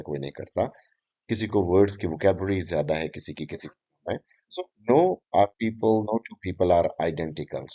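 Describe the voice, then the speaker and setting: Male english teacher giving a lecture, low mellow voice, lists stuff out, says "uh" a lot, kinda muffled recording. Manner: switches freely into English terms, lists points one by one; frequent filler hesitations between phrases. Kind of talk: explanatory lecture. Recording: dull lo-fi audio.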